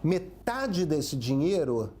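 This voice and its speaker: A man giving an animated, explanatory documentary interview. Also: on the high side, slow, a little hiss or hum. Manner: animated, explanatory, acts out quotes. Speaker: interviewee, history commentator.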